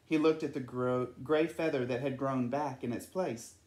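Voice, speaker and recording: Male storyteller, children's read-aloud, mid-pitched voice, strongly roomy recording. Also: fairly even intonation, moderate pace, a little mellow. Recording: echoey room.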